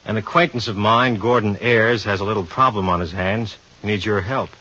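An actor playing an easygoing, teasing police inspector in a 1950s radio drama, speaking in casual slang. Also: male voice, fairly low pitch, fairly even intonation, a little fast, sharp tone. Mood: explaining, easygoing. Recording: muffled 1950s radio recording.

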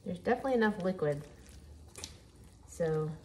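A woman's voice: fairly low pitch, moderate pace, a little hiss or hum. A woman hosting a home cooking video, chatting casually as she works at her kitchen counter. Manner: chatty, relaxed, talks while working with hands. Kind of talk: casual cook-along instruction. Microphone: overhead camera framing the counter. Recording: kitchen clatter of dishes and pans. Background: clinking dishes and pans.

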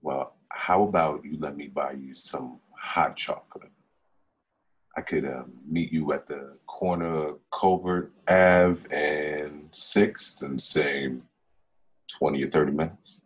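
A young man playing a smooth, evasive online date in a low-key script read over a video call. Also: fairly even intonation, slow pace, full character voice. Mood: smooth, coaxing. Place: dim room at home, indoors.

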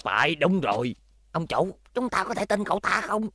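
A man's voice: high voice, big pitch swings, normal speed, pushed and bright, heavily acted. A man dubbing a scheming middle-aged gang boss in a cartoon, talking in an oily, wheedling way.

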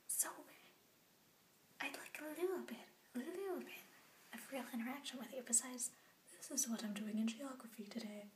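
A young woman voices a bubbly young orphan girl confiding to a camera late at night, her words coming out in a hushed, rambling whisper. Her voice sits fairly high and bounces in giddy bursts. The room rings around her, with a faint hiss under it. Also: echoey room. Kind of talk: scripted web series vlog monologue.

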